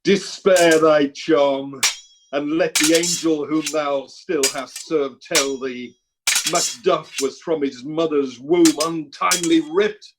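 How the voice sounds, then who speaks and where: A man's voice: a little high, takes it slowly, background noise. An amateur actor playing an avenging, righteous warrior nobleman in a Shakespeare radio play, emphatic and declamatory. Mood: vengeful. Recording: home recording over video call.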